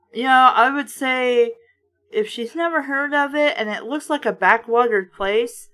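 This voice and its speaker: A woman's voice: mid-range voice, a bit bright. A woman reading a storybook aloud and breaking off for casual, sarcastic, sweary commentary. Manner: blunt, sarcastic, swears freely.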